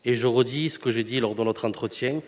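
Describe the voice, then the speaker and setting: Hesitant man councillor, medium pitch. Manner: hesitant, measured, conciliatory. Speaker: elected metropolitan councillor responding. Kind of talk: formal spoken reply at council meeting. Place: council meeting.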